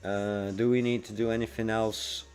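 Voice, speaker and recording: A man's voice: lowish voice, little pitch movement, normal speed, a little mellow. A man in his forties talking casually to livestream viewers in a laid-back, slangy way. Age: 40s. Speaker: livestreamer and freelance web developer. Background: music playing.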